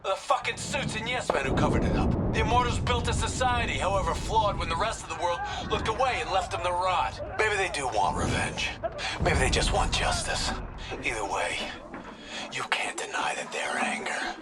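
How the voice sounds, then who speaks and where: An actor playing a bitter, righteous rogue soldier in a video game, speaking in impassioned rhetorical speeches. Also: male voice, high-pitched, animated, moderate pace. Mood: righteous anger. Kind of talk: video game cutscene acting. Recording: loud battle and vehicle noise.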